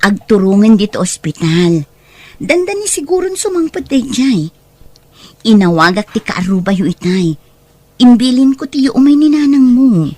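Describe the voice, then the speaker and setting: A woman with a Filipino accent playing a greedy, scheming aunt in an audio drama, expressive and falsely soothing. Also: fairly high pitch, moderate pace, no room sound. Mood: alarmed, soothing. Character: scheming greedy aunt exploiting her young singing nephew. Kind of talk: Ilocano audio drama acting.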